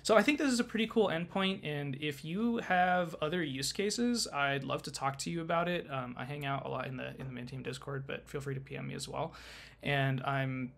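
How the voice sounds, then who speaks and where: A man presenting a software tutorial in a conversational, informal and explanatory way, as in a casual screen-share. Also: on the high side, fairly quick, vocal fry.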